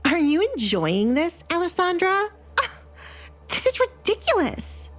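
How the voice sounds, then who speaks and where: A woman's voice: very high voice, wildly swooping pitch, unhurried, pitched above the performer's own voice, heavily acted. A woman voicing a jealous, haughty young noblewoman, scornful and sneering, in an audio drama. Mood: scoffing.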